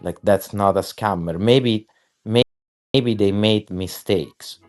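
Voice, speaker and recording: A man's voice: lowish voice, takes it slowly. A man chatting casually as a guest on an online voice space, rambling and swearing, with lots of fillers and restarts. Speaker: guest artist.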